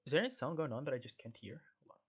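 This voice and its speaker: Expressive man doing sarcastic gaming commentary. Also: mid-range voice, very fast, slightly rough, lo-fi sound. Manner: sarcastic, joking, sweary asides. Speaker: video game streamer. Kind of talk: casual let's-play commentary mixed with reading dialogue aloud.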